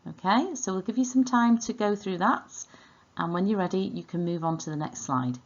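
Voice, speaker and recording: A woman's voice: mid-range voice, moderate pace, highs cut off. A woman with a Welsh accent teaching an online language lesson, enunciating and repeating words in an instructional way.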